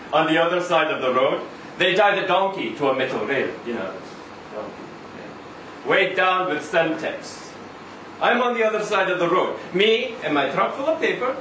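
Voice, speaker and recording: A man reading a short story aloud as a chatty, insistent Israeli taxi driver, halting and conversational, full of rhetorical questions and tags. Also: high voice, fairly bright tone. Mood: awed storytelling. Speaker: reader at a live literary event. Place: indoor live reading event. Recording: roomy live venue, distant mic, faint noise.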